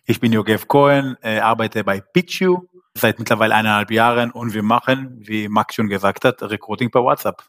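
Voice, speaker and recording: A man's voice: fairly low pitch, moderate pace, fairly bright tone. A man whose first language is not German, a podcast guest speaking hesitantly with frequent fillers and grammar slips. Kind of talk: podcast interview.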